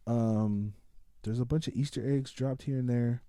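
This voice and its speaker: A man's voice: medium pitch, steady tone, normal speed, warm tone, no room sound, clean studio sound. A man co-hosting a casual film review podcast, rambling along in slangy, sweary talk.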